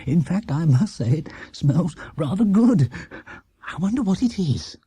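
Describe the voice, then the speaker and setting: A man's voice: on the high side, big pitch swings, a little slow, dark tone, slightly rough, dry recording, pitched above the performer's own voice, exaggerated voice. A man with an English accent voicing a genial, appreciative father in a children's storybook, savouring his phrases. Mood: musing.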